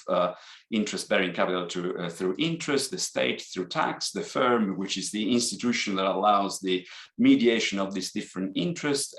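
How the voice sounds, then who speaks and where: An Italian-accented man delivers an academic seminar talk in a low, deep voice. He hesitates often and restarts phrases as he works through his points. His voice carries the echo of a hard-walled room. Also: a bit bright. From Italy. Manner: halting, with frequent restarts and hesitations. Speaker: seminar presenter. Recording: echoey room.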